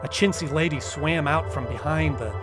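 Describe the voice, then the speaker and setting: A man acting a nervous poet in a radio drama, narrating an eerie ordeal in a halting, stammering way. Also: on the high side, lightly acted. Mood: awed, uneasy. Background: music.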